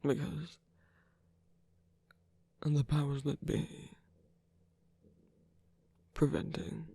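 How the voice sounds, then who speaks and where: A performer of unclear sex voicing a soft-spoken, weary, tender villain lover in a romantic ASMR roleplay, breathy and often whispery, with a hesitant, gently wry delivery. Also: medium pitch, flat delivery, extremely slow, dry recording, exaggerated voice. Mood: earnest, halting.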